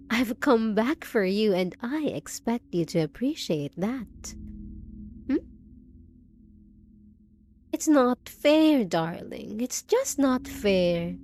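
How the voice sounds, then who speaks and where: Slow-talking possessive undead bride, played by a woman. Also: medium pitch, dark tone. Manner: sweet pet names, mock pity, clingy and threatening. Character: possessive, unhinged undead bride, sweetly menacing to her lover. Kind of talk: scripted roleplay audio drama.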